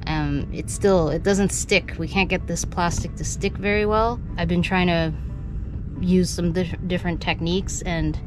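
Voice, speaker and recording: A woman's voice: on the low side, fairly even intonation, a little slow, over music, rough recording. A woman in her thirties talking to camera on a sailboat deck, thinking aloud with many fillers, sounding frustrated. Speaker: sailing vlogger. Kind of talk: casual vlog talk to camera.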